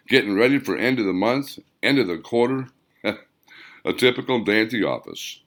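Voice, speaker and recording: A man reading a scripted audiobook story as its author, with deliberate, dramatic phrasing. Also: fairly low pitch, a bit bright. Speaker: author reading own novel.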